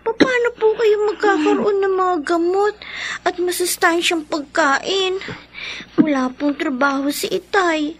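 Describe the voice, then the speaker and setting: A slow, high-voiced little girl. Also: noisy background. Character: worried, dutiful ten-year-old daughter, tender and earnest. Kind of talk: radio drama acting.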